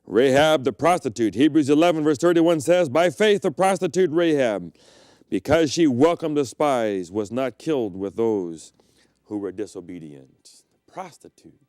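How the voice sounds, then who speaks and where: A middle-aged man preaches with a smooth, resonant voice, recorded dry with no room sound. He tells personal stories as a preacher would. His delivery rises to loud, emphatic peaks and drops into hushed, low stretches. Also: lively intonation, normal speed, no echo. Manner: swings between loud emphatic peaks and quiet low passages; storytelling preacher, personal anecdotes. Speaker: pastor. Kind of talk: sermon.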